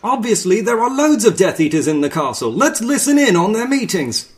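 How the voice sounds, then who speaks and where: Man putting on high, excitable young wizard students. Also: a little fast. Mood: urgent, earnest.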